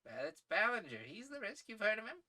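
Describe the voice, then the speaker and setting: A man voicing a hearty, daring aristocratic English gentleman adventurer from a storybook, with jaunty, slangy upper-class phrasing. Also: high voice, huge pitch swings, a little fast, voice pushed up, heavily acted. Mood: hearty, urgent.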